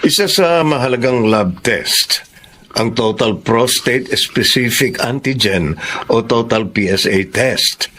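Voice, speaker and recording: A man playing a calm, matter-of-fact doctor, explaining in a measured way in a radio drama. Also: medium pitch, a little hiss or hum. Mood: serious.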